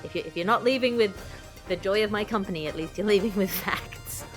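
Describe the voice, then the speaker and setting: A woman's voice: mid-range voice, a little fast, music in the background. A woman hosting a comic magazine-style podcast in a wry, wordy, mock-formal way. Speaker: podcast host.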